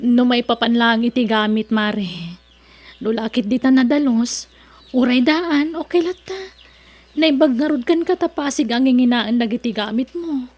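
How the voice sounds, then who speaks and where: An actress playing a humble, patient mother in an Ilocano drama, speaking in a gentle, unassuming way. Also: on the high side, a little slow. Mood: puzzled, patient. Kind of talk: Ilocano radio drama acting.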